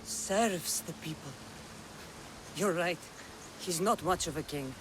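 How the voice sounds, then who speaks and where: A woman's voice: lowish voice, slow pace, noisy background. An actress playing a fierce, stubborn young Greek mercenary woman in a video game cutscene, speaking tersely and intensely. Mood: skeptical.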